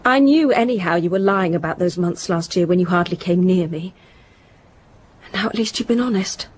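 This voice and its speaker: A woman's voice: medium pitch, darkish tone, slightly rough, close to an everyday voice. An actress playing an outspoken, disillusioned young upper-class woman in a period radio drama, with candid, pointed delivery.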